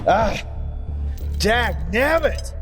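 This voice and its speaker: Excitable dwarf, lively and high-pitched. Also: male voice, extremely slow, heavily acted. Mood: pained, grumbling. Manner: folksy, excitable exclamations. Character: gruff, plucky male dwarf adventurer with an axe. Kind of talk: fantasy audio drama acting. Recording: music underneath.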